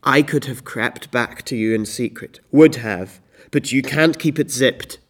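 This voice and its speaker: Man acting a loud, scornful husband in tragedy. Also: mid-range voice, a little slow. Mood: exasperated. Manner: loud, forceful, scolding. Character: exasperated, scornful husband Jason berating Medea. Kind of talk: theatrical classical drama monologue.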